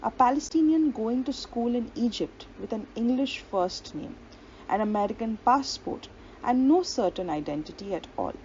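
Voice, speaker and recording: A woman's voice: on the high side, animated, moderate pace, a little mellow. A woman giving an explanatory lecture who reads long quotations aloud and sometimes stops on a filler or restarts a phrase. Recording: dull lo-fi audio with faint hiss.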